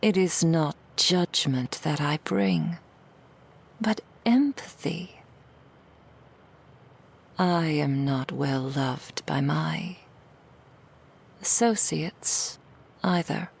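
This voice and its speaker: A woman playing a seductive female demon in an ASMR roleplay, coaxing the listener in a breathy whisper with soothing, insidious persuasion. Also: sits low, very slow, long pauses, full character voice. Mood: coaxing, falsely tender. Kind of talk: ASMR roleplay, intimate monologue.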